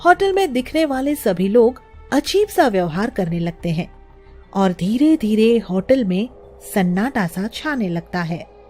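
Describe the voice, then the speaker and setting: A woman's voice: medium pitch, sing-song, unhurried, dark tone, faint music behind. A woman narrating a scripted children's story as its storyteller.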